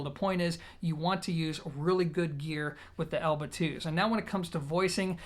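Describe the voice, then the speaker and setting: A man presenting an audio gear review, talking conversationally and directly to his viewers. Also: sits high. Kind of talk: product review talk to camera.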